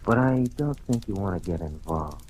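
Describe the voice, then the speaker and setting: A shady small-time blackmailer in an old radio crime play. His natural register is very low and the performance is theatrical. The old recording carries a light layer of noise. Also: lively intonation, normal speed, darkish tone. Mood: wry, smug. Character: small-time blackmailer, smug then desperately pleading for his life. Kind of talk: old-time radio detective drama acting.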